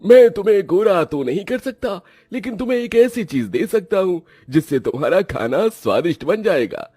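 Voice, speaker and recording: A man puts on a bargaining magician from a cartoon tale. He sounds theatrical and portentous, with a raised, husky voice over faint music. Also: high voice, expressive, normal speed, warm tone, no room sound, voice pushed up. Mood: grand, warning. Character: mysterious adult male magician shopkeeper, bargaining.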